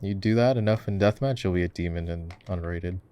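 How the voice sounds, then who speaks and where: A man's voice: sits low, fairly quick, mellow, clear, resonant tone. A man chatting casually in an ASMR-style gaming roleplay, laid-back, encouraging and teasing as he coaches the listener. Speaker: voice actor playing a gamer boyfriend, coaching a game.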